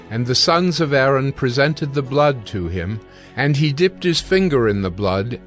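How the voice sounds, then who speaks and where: A man giving scripted scripture narration in a measured, solemn manner. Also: medium pitch. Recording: background music under narration.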